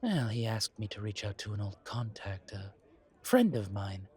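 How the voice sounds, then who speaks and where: A man voicing a courtly, wary, centuries-old vampire in a roleplay audio drama, speaking in a measured, formal, breathy way. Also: lowish voice, sing-song, darkish tone. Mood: reverent, reminiscing. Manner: measured, formal, politely dry.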